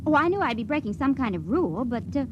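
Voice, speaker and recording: A sweet, naive young woman stowaway in a vintage sci-fi radio play. Her soft voice sits a little high and rises and falls a lot. The old recording is slightly dull, with a faint hiss. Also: very animated, fast talker. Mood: innocent. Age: young adult. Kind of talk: 1950s radio drama acting.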